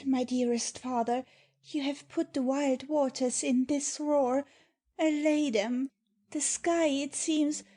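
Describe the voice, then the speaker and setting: A woman's voice: high voice, a little mellow. A woman playing a tender, distressed young daughter, reading verse drama in a pleading, theatrical way.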